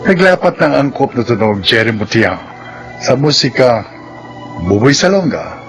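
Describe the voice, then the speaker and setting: A Filipino man narrates a radio drama in a scripted, reflective style. His voice sits at a middle pitch over faint music. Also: big pitch swings, normal speed, fairly bright tone. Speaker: narrator and announcer.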